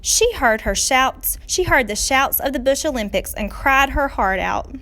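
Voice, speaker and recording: Lady reading a kids story out loud, expressive and dramatic, voice goes up and down a lot, on the high side. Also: a little slow. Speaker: teacher reading aloud. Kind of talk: expressive children's storybook narration.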